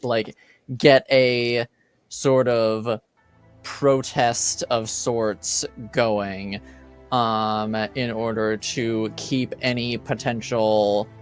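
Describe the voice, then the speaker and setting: A man's voice: medium pitch, smooth. A man in a casual tabletop game discussion, explaining things carefully and tentatively. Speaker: tabletop roleplaying game participant.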